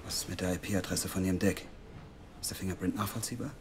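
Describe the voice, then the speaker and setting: An actor playing a calm, measured male team boss in an audio drama. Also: sits low, noisy background. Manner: measured, quietly firm. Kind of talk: radio drama acting.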